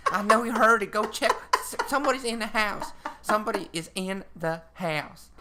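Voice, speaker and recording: A man's voice: sits high, expressive, normal speed, pitched above the performer's own voice. A man voicing a frightened, insistent wife nagging her husband at night in an amateur radio drama. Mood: panicked. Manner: insistent, pleading, urgent. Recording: homemade radio drama with noise.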